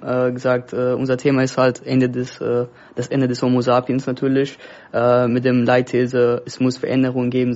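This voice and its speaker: Nervous man from Afghanistan, monotone, halting, muffled radio recording. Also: medium pitch. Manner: nervous, hesitant, many fillers. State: excited and nervous. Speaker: guest presenter. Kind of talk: casual radio talk. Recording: dull lo-fi radio sound.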